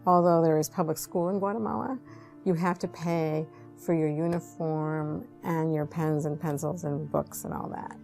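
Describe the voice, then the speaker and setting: A woman's voice: low voice, fairly even intonation, normal speed, gravelly. A woman speaking reflectively in a documentary interview about someone she sponsored. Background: soft music.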